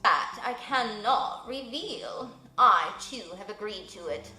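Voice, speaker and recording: A woman voicing a wise, solemn old male owl who speaks slowly and formally. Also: medium pitch, expressive, sharp tone, reverberant, soft background music, heavily acted, rough recording. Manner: slow, measured, formal.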